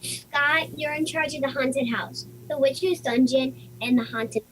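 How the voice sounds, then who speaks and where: A woman's voice: sits high, a little slow, slight background noise, low-quality recording. A woman playing a bossy, precocious nine-year-old girl in an amateur audio play, with a commanding, matter-of-fact delivery. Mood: bossy, brisk.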